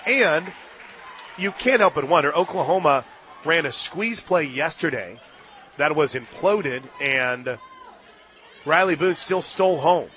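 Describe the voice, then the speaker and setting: Brief: male radio softball announcer, forceful and fairly high-pitched, easy talk between excited calls, stadium crowd in background. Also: a little slow, pauses often, slight background noise. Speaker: play-by-play announcer. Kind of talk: live radio softball commentary. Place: radio booth at an outdoor ballpark. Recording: crowd and stadium music behind. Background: crowd, loudspeaker music.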